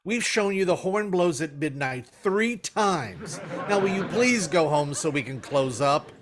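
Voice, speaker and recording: A man playing a dry, weary theater manager in a recreated 1950s radio comedy, matter-of-fact and deadpan. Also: on the high side, a little slow. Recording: hissy recording.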